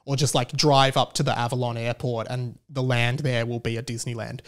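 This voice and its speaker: A man's voice: medium pitch, level tone, a little fast. A young Australian man hosting a podcast in a casual, opinionated rant, making his points emphatically and animatedly. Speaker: podcast host.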